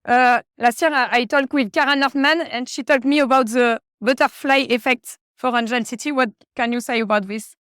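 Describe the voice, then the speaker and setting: A woman with a French accent asks questions hesitantly, pausing often on filler sounds. Her voice sits high, smooth and clear, and the sound is dry and close. She is interviewing at a conference venue. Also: steady tone, fairly bright tone, no room sound. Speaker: podcast host, interviewer.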